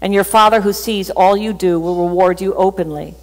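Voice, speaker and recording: A woman's voice: medium pitch, fairly quick. Chatty woman pastor in a reverberant church. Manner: chatty, animated, laughs at herself. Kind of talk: sermon. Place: church stage with band gear.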